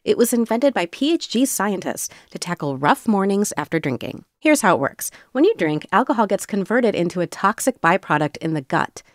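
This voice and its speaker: A woman reads scripted advertising copy with lively, varied intonation. Her pitch is average and the recording is very dry and close. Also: fairly quick, few pauses, dry recording. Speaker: podcast sponsor-read announcer.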